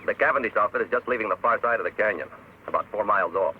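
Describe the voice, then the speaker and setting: An actor playing a terse, sly scout in an old radio western, clipped and matter-of-fact. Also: male voice, lowish voice, racing, noisy background, lightly acted. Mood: cool. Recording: thin, phone-like old radio recording.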